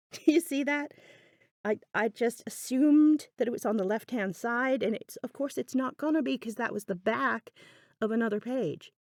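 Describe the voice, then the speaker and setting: A woman's voice: a little high, moderate pace, a little mellow, no echo. Woman in a dry, chatty craft voiceover. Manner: chatty, self-deprecating, musing aloud. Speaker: craft video host. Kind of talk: casual, unscripted craft voiceover. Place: indoors, voice-over recorded apart from the video.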